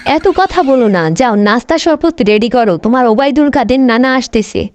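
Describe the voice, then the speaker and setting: A woman's voice: on the high side, lively intonation, normal speed, a little mellow, dry recording, lightly acted. A woman voicing a bossy, sulky, spiteful grandmother in an amateur comic cartoon, nagging and demanding petulantly.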